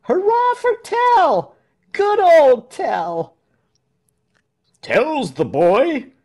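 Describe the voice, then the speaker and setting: A man voicing excitable villagers in a cheering crowd, calling out in short shrieked cries for a storybook. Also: very high voice, drawn-out words, pitched above the performer's own voice, heavily acted. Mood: jubilant.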